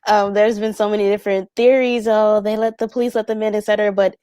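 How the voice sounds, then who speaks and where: A woman's voice: mid-range voice, level tone, fast, dark tone, clean tone, no room sound, studio quality. A young woman on a discussion panel, talking in a hesitant, thoughtful way with many fillers and restarts. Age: young adult. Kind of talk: casual reflective discussion.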